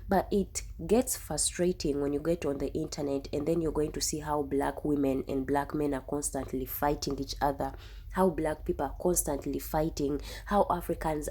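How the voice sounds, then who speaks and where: Low-voiced young woman. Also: mellow, slightly rough. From Uganda. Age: young adult. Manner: earnest, emphatic, often repeats herself. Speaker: YouTube reaction host. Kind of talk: casual reaction commentary.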